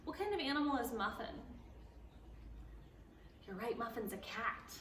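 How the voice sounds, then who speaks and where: Bright, slow-reading storytime woman in an echoey room. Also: a little high, slight background noise. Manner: animated, pauses to ask the children questions; playful, gasps and exclaims at the story. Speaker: library storytime reader. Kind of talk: reading a picture book aloud to preschoolers. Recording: echoey room, voice a bit far from the mic.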